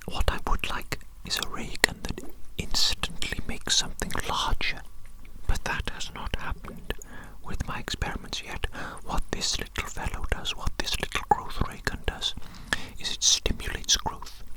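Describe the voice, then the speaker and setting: A doting mad scientist acted out by an ASMR performer speaks entirely in a breathy whisper. The delivery is theatrical and fussy, with formal phrases repeated over and over, like an eccentric evil doctor in a close roleplay. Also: close to an everyday voice, poor audio.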